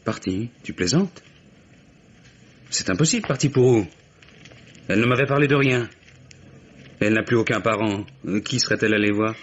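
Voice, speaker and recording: A man's voice: on the low side, moderate pace, long pauses, dull audio, close to an everyday voice. An actor playing an earnest, troubled young married heir in his late twenties, in an old-style French radio drama. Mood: bewildered, upset.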